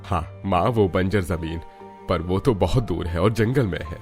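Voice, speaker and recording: A man's voice: low-pitched, measured pace, soft background music. A man playing a weary, meek older father-in-law in a cartoon story, pleading and doubtful. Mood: worried. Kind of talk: Hindi cartoon story voice acting.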